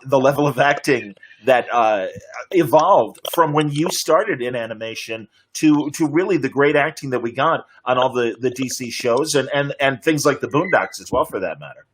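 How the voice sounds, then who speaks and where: A man moderating a casual panel over video call, speaking in a halting, complimentary way. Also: medium pitch. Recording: noisy video call with clicks.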